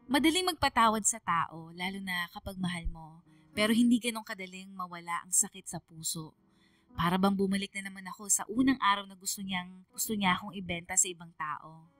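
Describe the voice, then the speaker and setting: A woman's voice: mid-range voice, normal speed, fairly bright tone, faint music behind, close to an everyday voice. An actress playing a hurt young daughter who narrates her own story, emotive and confiding, with a Filipino accent. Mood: sorrowful. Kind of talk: dramatic first-person radio narration.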